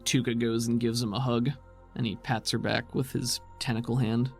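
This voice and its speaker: A man's voice: mid-range voice, flat delivery, normal speed, vocal fry, faint music behind. A man working as game master, narrating a tabletop roleplaying game in the present tense.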